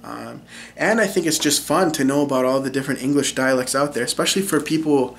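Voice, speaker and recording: A young man with a central Minnesotan accent talks to camera, relaxed and explanatory, with lots of ums and you-knows. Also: mid-range voice, fast, vocal fry, a little hiss or hum. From Minnesota, USA. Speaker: linguist and YouTube English teacher. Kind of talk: casual talk to camera.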